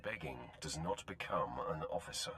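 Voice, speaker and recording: An actor playing an imperious Sith lord in a video game, speaking in a curt, dry, commanding manner. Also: male voice, a bass voice, expressive, normal speed, fairly bright tone, slight background noise. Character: imperious, drily menacing male Sith lord. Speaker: game protagonist.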